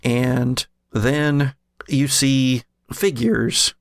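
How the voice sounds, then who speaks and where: A man's voice: mid-range voice, fairly even intonation, extremely slow, no echo, studio quality. A man acting as game master, narrating a tabletop role-playing game and chatting casually with players.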